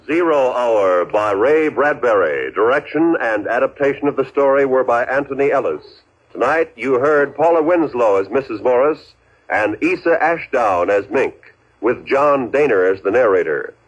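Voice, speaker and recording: Dull vintage radio sound carries an American male announcer. He has a husky, mid-pitched voice with lively inflection, formal and measured in 1950s style.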